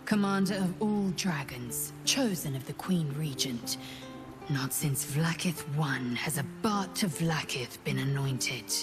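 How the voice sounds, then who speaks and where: A woman's voice: deep voice, animated, a little slow, over music. A woman playing a proud, disillusioned warrior in a fantasy game, clipped, solemn and scornful. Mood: bitter, proud. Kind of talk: fantasy video game acting.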